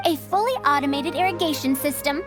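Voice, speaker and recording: Woman playing a high-voiced breezy crafty scholar. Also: fairly quick, over music, close to an everyday voice. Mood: smug bluffing. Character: breezy, crafty, long-lived female scholar with airy poise. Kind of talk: video game voice acting.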